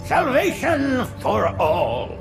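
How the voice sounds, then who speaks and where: Solemn aged alien priest, high, creaky, lilting sermon delivery, video game cinematic. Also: male voice, wildly swooping pitch, extremely slow, over music, heavily acted. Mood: solemn, exalted.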